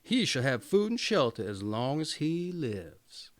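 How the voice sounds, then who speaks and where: A man's voice: medium pitch, big pitch swings, unhurried, no room sound. A man as a grateful, decisive storybook master. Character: grateful male master, kindly and commanding.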